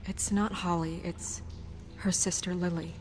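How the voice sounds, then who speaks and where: An actress playing a poised, serious young woman official in a video game, speaking in an earnest, measured way. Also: fairly low pitch, fairly even intonation, a little mellow, soft background music, lightly acted. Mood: troubled.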